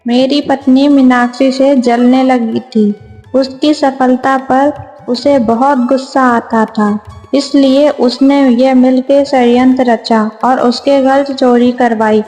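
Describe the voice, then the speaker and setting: A woman's voice: high-pitched, fairly even intonation, takes it slowly, mellow. A woman playing a humble, honest, apologetic village husband in a Hindi moral-story cartoon. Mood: regretful. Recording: long reverb, music behind.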